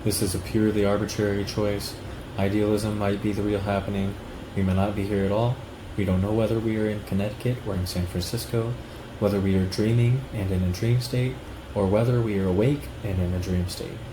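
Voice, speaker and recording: A man reading a written text aloud at a steady, measured pace. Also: lowish voice, echoey room, noisy background. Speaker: reader of a political text.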